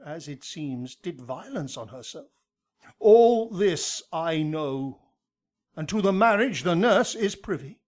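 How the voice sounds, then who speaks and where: A man acts out an elderly friar in a Shakespearean radio drama. He declaims his confession slowly in a fairly high male voice, grave and anguished, with measured verse that swells and drops. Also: a little mellow, exaggerated voice.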